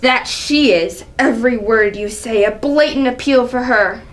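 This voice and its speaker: A woman's voice: a little high, unhurried, lightly acted. Young woman playing an indignant, imperious king. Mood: accusing. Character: proud, enraged male king, father berating his son. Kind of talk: dramatic reading of Greek tragedy.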